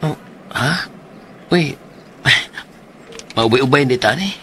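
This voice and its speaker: A man's voice: medium pitch, animated, slow. A man playing an earnest, hesitant working-class driver in a radio drama, with a Cebuano Filipino accent. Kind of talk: Philippine radio drama acting. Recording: noisy, with ambience and bird sounds.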